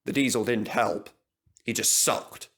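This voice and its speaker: A man's voice: on the low side, unhurried, a bit bright, slightly rough. Male children's storyteller. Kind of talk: scripted children's story narration.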